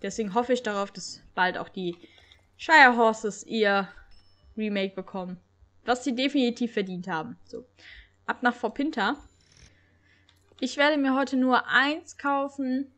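A young woman with a cold, hosting a game video in casual, excited commentary that stumbles now and then while reading aloud. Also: a little high, unhurried, long pauses. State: has a cold. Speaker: gaming channel host.

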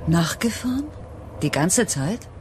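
A woman playing a troubled woman searching for the truth in a German radio crime drama, with a breathy, husky voice. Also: on the low side, expressive, a little slow, darkish tone, a little hiss or hum. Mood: surprised.

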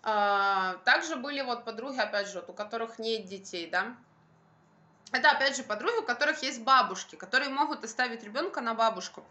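A young woman with a fairly high, very bright and forceful voice talks to her phone at home. She vlogs in a casual, colloquial style, stressing her points as she airs her grievances. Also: animated, a little fast. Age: young adult. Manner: emphatic, colloquial venting. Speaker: vlogger, stay-at-home mother. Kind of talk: casual chat to camera. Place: bright room at home by a window, indoors. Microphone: phone at arm's length.

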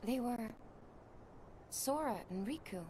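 A woman voicing a solemn, gentle young warrior in a video game cutscene, speaking in a soft, measured way. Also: on the high side, animated, a little hiss or hum. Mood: wistful.

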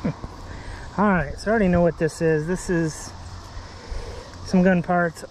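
A man's voice: high voice, fairly even intonation, measured pace, rough recording. A man casually narrating his magnet fishing from a bridge, thinking aloud and making unsure guesses, recorded on a head-mounted camera outdoors. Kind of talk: casual narration while working. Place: outdoors on a bridge over water. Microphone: head-mounted action camera. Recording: loud outdoor noise, traffic passing. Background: traffic and outdoor ambience.

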